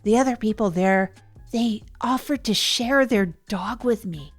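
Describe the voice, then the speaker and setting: A woman's voice: a little high, unhurried, faint music behind. A woman playing an earnest, self-effacing radio host who tells her misadventures in a chatty, hesitant, improvised way. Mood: chatty, reflective. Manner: hesitant, rambling, chatty, chuckles at herself. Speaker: narrator and radio show host. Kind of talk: improvised first-person audio drama monologue.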